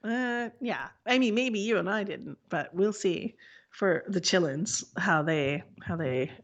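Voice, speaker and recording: A woman from the Texas Panhandle co-hosting a casual chat, blunt and quick with asides. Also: mid-range voice, animated, muffled recording. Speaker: podcast co-host.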